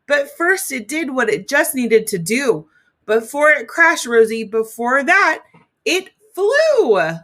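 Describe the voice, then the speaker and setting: A woman's voice: high-pitched, big pitch swings, slow, a bit bright, full character voice. A woman reading the part of a spirited, encouraging old great-aunt in a storybook, with an exclamatory manner. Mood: elated encouragement.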